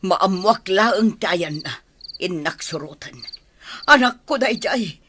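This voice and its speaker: A woman's voice: lowish voice, animated, slow, a bit bright. An actress playing a worried, grieving mother in an Ilocano radio drama, plaintive and insistent. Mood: worried, reproachful. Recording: clear noise, night insects.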